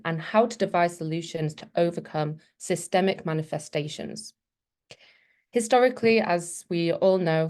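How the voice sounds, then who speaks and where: A woman with a fairly low voice delivers a prepared academic talk. She moves through her points in a measured, formal way, setting them out one by one. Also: a little mellow. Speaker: webinar presenter.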